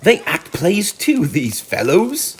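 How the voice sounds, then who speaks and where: Man doing an eager, excitable storybook mole. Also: a little high, slow pace, a little hiss or hum, pitched above the performer's own voice, heavily acted. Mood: proud.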